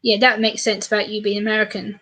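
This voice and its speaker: A woman from the UK chatting fast and animatedly on a casual live stream, co-hosting and reading out viewer comments. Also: mid-range voice, little pitch movement, fairly bright tone. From United Kingdom.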